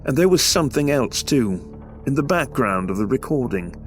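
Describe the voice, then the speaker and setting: An actor playing a polite, reserved young English heir, speaking in courteous, formal phrases in an audio drama. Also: male voice, mid-range voice, sing-song, normal speed, faint music behind, lightly acted. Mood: brooding. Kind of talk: scripted audio drama with first-person narration.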